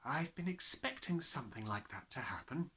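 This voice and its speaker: A man voicing a kind, thoughtful woman schoolteacher who explains things gently in a storybook. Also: medium pitch, sing-song, normal speed. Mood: gentle interest.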